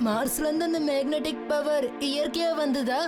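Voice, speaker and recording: A woman's voice: sits high, steady tone, moderate pace, natural voice. A woman voicing an inquisitive, bookish child adventurer in a children's cartoon dub. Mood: curious. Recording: loud music under the dialogue.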